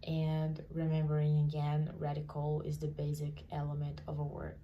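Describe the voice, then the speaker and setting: A woman's voice: low voice, completely flat delivery, moderate pace, dull audio, slight background noise. A young woman in her twenties with a Brazilian Portuguese accent, teaching to camera in a small room and often checking in with "you see?". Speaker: language teacher. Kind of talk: casual lesson to camera.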